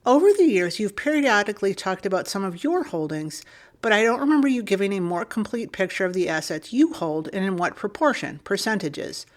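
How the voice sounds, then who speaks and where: A woman co-hosting a podcast, reading a listener's email aloud in a steady, clear manner. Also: mid-range voice, brisk.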